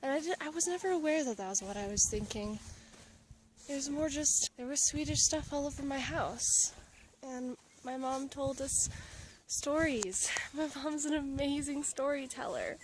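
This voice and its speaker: Young woman from Alaska, casual travel vlogger, slightly high voice, earnest, laughs a lot, breathy asides. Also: normal speed, a little hiss or hum, low-quality recording. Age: young adult. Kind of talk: casual vlog talk to camera. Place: outdoors in a mountain town.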